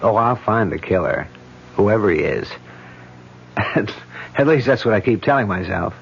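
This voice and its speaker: An actor playing a confident, affectionate, wry police detective husband in a 1970s radio drama. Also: male voice, fairly low pitch, animated, measured pace, gravelly, slight background noise. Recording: dull vintage radio broadcast.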